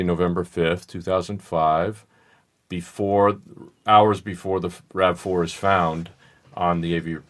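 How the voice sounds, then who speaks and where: A man co-hosting a true crime podcast, explaining details in a measured, analytical way. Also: low-pitched. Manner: measured, explanatory, thinks aloud. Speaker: podcast co-host. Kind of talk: casual analytical discussion.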